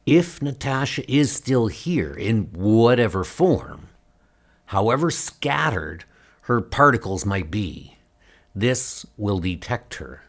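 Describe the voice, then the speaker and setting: A man's voice: fairly low pitch, very animated, slow, creaky, exaggerated voice. A man voicing a worried, determined inventor superhero in an earnest way, in a comic book audio drama. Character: anguished, determined adult male inventor hero, Steel. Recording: music under the voice. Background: music.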